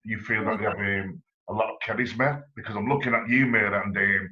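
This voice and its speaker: Enthusiastic male host on a video call, bright tone, dull low-fi sound. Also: steady tone, fast. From England. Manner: enthusiastic, effusive, rambling questions. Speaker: interviewer, channel host. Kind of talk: online interview.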